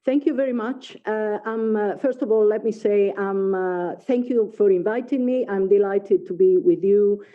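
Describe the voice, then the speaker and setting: A woman's voice: mid-range voice, normal speed, few pauses. A middle-aged woman from Italy with an Italian accent, a native Italian speaker giving an academic talk over a video call, hesitant with many fillers and restarts. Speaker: invited speaker, linguist. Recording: phone-like video call audio.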